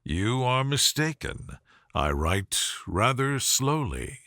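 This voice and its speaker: Storybook role: male reader as a proud young gentleman, formal and cool, clipped in manner, close dry sound. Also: mid-range voice, sing-song, takes it slowly, no echo, full character voice. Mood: cool, curt. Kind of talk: audiobook character voice.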